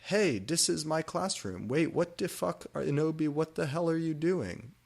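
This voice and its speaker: An irritable, gloomy goth woman teacher, put on by a man reading aloud, in a raised pitch. She is theatrical, a little whiny and swears freely as the story goes on. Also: normal speed, vocal fry, a little mellow. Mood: indignant.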